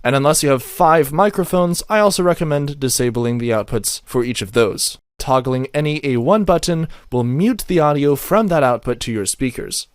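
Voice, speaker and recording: A man reading a scripted software tutorial as a voice-over, with a methodical, step-by-step instructional delivery. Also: medium pitch, dry recording, clean studio sound. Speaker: tutorial narrator.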